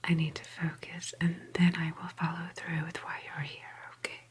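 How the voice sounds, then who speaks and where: Woman playing a prim female office agent, low breathy whisper, officious, softly polite, meticulous, ASMR roleplay. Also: completely flat delivery, normal speed. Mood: calm, methodical.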